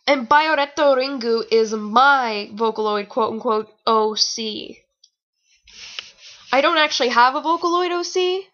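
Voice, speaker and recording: A woman's voice: high voice, a little slow, fairly bright tone, muffled recording. A young adult woman giving a mocking amateur fan fiction reading with rambling, exasperated, theatrical asides, sounding a bit tired. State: tired, sore nose.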